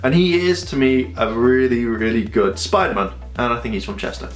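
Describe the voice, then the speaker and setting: Congested man ranting to camera. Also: a little fast. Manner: animated, rambling, with sudden high-pitched outbursts. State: congested from a cold. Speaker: vlogger. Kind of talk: casual opinion vlog to camera. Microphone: camera on a tripod. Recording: echoey room with faint music.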